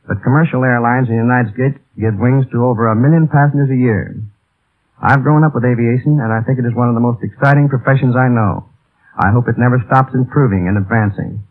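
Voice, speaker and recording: A man speaking as an airline captain guest, reading a formal scripted talk in 1930s radio style. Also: mid-range voice. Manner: formal, read-out delivery. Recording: muffled narrow-band vintage radio broadcast.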